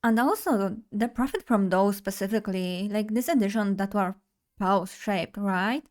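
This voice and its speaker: A woman's voice: mid-range voice, normal speed, a little mellow. Young woman, bubbly casual streamer talking to chat. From Poland. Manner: bubbly, chatty, addresses chat directly. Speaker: vtuber streamer.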